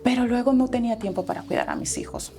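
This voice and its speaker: A woman's voice: on the high side, wildly swooping pitch, fast talker, gravelly, mellow, faint music behind. A Salvadoran woman in her forties, a guest entrepreneur telling her life story in an interview, earnest and reflective, with fillers and self-corrections.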